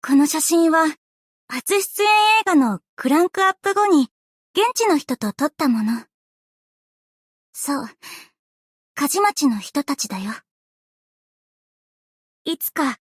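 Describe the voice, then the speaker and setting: A woman playing an earnest, bubbly, easily flustered young female idol in an anime game, with a cute, expressive, girlish delivery. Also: extremely high pitch, dry recording, studio quality. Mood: wistful, fond. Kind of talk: anime game character voice lines.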